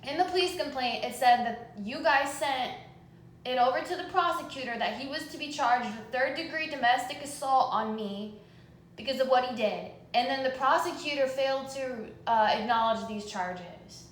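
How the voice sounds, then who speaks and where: A woman's voice: high-pitched, moderate pace, a little hiss or hum. A young woman on a phone complaint call, upset and exasperated, pressing her demands in an insistent, confrontational way. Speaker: caller. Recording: roomy, echoing phone call recording.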